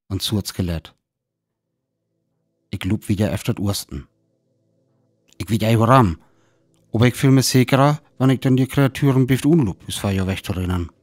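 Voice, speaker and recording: An actor with a North Frisian accent playing a weary lone survivor of an invasion in a radio play, telling it in sparse, dramatic phrases. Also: male voice, lowish voice, darkish tone, no room sound, studio quality, lightly acted. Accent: North Frisian (Föhr).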